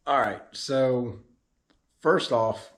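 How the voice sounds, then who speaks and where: A man, hoarse and losing his voice, giving a casual, rambling vlog update as a drag racer. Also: mid-range voice, very slow, fairly bright tone, a little hiss or hum. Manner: rambling, with frequent ums and restarts.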